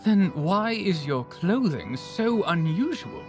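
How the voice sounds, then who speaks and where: A dignified fallen monarch from a visual novel game. He has a slightly high male pitch and speaks formally and earnestly, as one ready to forgive. Soft music plays behind. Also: sing-song, slow pace, full character voice. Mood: surprised, questioning. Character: noble, forgiving fallen Russian monarch, a father.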